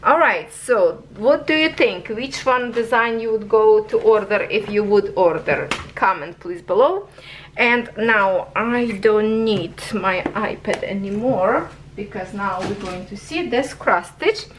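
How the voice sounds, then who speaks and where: Slow-talking woman reviewing craft kits. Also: mid-range voice, slight background noise, poor audio. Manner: chatty, keeps checking in with viewers; non-native English phrasing. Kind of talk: casual product review.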